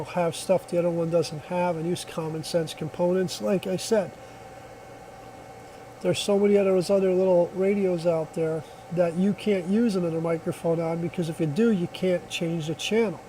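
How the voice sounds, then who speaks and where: A man's voice: sits high, mellow, slight background noise, low-quality recording. A man giving a casual hands-on product demonstration in a plainspoken, folksy, reassuring way, recorded on a phone.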